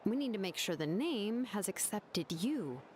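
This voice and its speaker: An actress voicing a calm, matter-of-fact woman engraver in a game, over loud music. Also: medium pitch, unhurried, background noise. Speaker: name engraver.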